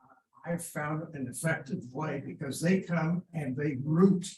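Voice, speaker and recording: A man in the audience sharing a tip, hesitant, with pauses. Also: on the high side, darkish tone. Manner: slow, hesitant. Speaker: audience member. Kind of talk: question from the floor. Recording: distant, echoey room.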